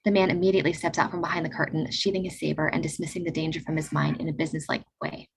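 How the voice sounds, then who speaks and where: A woman reads stage directions at a quick clip, steady and matter-of-fact. A faint noise runs under her voice, which sits at a middle pitch. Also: gravelly, rough recording. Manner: brisk, even narration. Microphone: video call.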